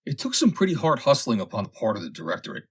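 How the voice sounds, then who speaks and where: A man reading a vintage humorous story aloud as scripted narration, with dramatic, expressive delivery. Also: on the low side, fast, husky. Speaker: audiobook reader.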